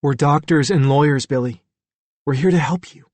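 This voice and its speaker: Audiobook role: calm authoritative woman physician read by a man, lifted pitch, gentle yet firm. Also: no echo, close to an everyday voice. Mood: reassuring. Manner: reassuring, measured, in control. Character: gentle but firm, persuasive woman doctor.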